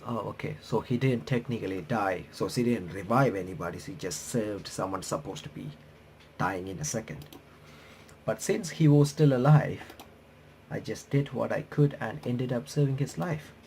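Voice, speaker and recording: A man's voice: fairly low pitch, moderate pace, vocal fry, darkish tone, a little hiss or hum. A man doing a game playthrough, reading on-screen text aloud with casual commentary, often stumbling and correcting himself. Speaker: host of a game playthrough and reaction channel.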